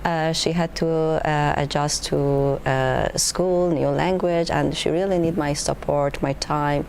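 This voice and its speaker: Low gravelly-voiced woman, hesitant. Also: fairly even intonation, unhurried, darkish tone, slight background noise. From Iran. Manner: hesitant, many filler sounds. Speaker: PhD student panelist. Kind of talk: panel interview answers.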